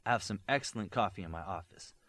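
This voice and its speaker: A man's voice: lowish voice, quick pace, fairly bright tone. A man voicing a polite, officious male administrator in an audiobook, formal and earnest.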